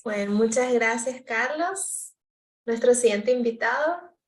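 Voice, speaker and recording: Slow-spoken woman leading a Bible study. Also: on the high side, lively intonation, reverberant. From Paraguay. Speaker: host of a youth Bible study. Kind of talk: relaxed Bible study discussion.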